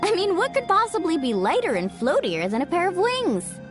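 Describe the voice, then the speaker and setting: A woman's voice: extremely high pitch, wildly swooping pitch, normal speed, over music, exaggerated voice. A woman voicing an eager, kind-hearted, chatty young girl in a video game. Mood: friendly, helpful.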